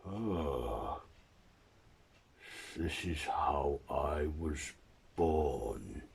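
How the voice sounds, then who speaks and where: A man voicing a lonely, childlike hulking monster who speaks haltingly in a few simple words. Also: a bass voice, lively intonation, vocal fry, breathy edge, voice pushed down, exaggerated voice. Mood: slow wonder.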